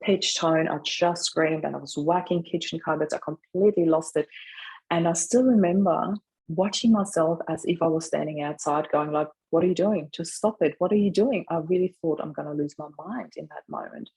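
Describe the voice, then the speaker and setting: A woman's voice: deep voice, moderate pace, darkish tone, husky, low-quality recording. A middle-aged woman telling a personal story as an interview guest, reflective and emphatic, sometimes voicing remembered remarks. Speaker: podcast guest, grief coach.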